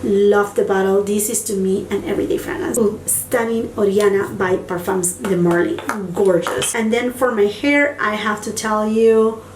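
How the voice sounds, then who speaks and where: A woman's voice: medium pitch, steady tone, normal speed, a little mellow, far from the mic. Lady gushing bout products, echoey room w faint bg hum. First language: Spanish. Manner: enthusiastic, gushing, repeats words for emphasis. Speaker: beauty vlogger. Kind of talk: casual product review.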